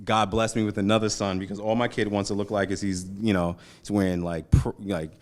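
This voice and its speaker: A man's voice: on the low side, fairly quick. A man, chatting casually as an interview guest, loose and slangy with lots of fillers. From Brooklyn, New York. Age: 40s. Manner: relaxed, slangy, many fillers.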